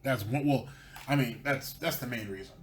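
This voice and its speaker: A man's voice: mid-range voice, moderate pace, a little hiss or hum. A man hosting a commentary show, ranting sarcastically over clips. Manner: sarcastic, mocking. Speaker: commentary channel host.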